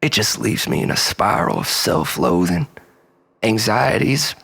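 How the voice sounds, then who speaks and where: A man's voice: lowish voice, big pitch swings, unhurried, creaky, a bit bright, husky, full character voice. A man playing an unhinged, self-loathing storyteller in a dramatic monologue, rambling in mock-philosophical, preacher-like repetitions. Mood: brooding, rambling. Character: unhinged, self-loathing male storyteller pleading with his lover.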